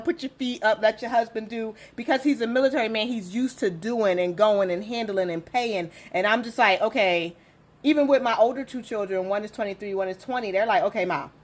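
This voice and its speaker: Animated fortyish woman host. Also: on the high side, moderate pace. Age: 40s. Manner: animated storyteller, acts out quotes, laughs often. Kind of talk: casual chat on relationships.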